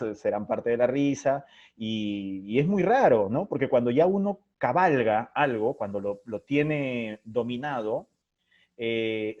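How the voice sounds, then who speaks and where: A man's voice: mid-range voice, a little mellow. A man speaking reflectively and expressively as an interview guest, thinking aloud as he explains. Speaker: interview guest, theatre playwright and director. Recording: muffled lo-fi online call.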